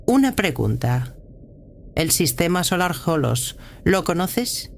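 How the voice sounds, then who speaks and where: A woman playing a grave, guarded prioress of a militant religious order in a sci-fi audio drama, speaking with measured authority. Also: on the low side, very animated, gravelly, slight background noise, exaggerated voice. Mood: grave, informative.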